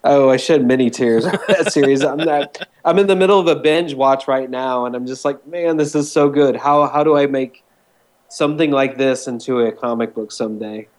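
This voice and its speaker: A man's voice: medium pitch, moderate pace, a little mellow. Enthusiastic man in his forties chatting. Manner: enthusiastic, loose, conversational. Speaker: guest. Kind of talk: casual chat.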